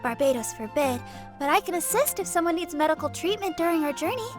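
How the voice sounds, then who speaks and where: A woman's voice: sits high, expressive, fast. A woman voicing a cheerful, caring young maiden who is eager to help, in an anime video game. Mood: helpful, upbeat. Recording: music behind the voice.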